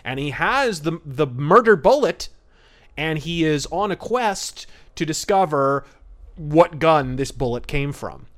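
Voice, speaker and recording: A man's voice: fairly high pitch, lively intonation, slow. A man hosting a podcast and giving conversational, detailed film commentary with a wry manner. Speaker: podcast host.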